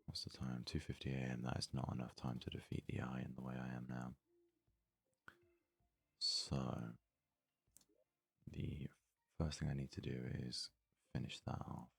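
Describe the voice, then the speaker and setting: A man giving soft-spoken ASMR game commentary, murmuring his thoughts aloud in a breathy voice that often slips into a whisper. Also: very deep voice, completely flat delivery, dry recording. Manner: murmured, unhurried thinking aloud. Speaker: let's play host.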